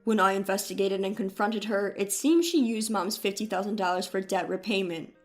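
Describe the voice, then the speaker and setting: A woman's voice: mid-range voice, brisk, pitched below the performer's own voice, natural voice. A disappointed middle-aged father, acted by a woman narrator with a subdued delivery. He sounds weary and matter-of-fact, as in an audio family story with faint music.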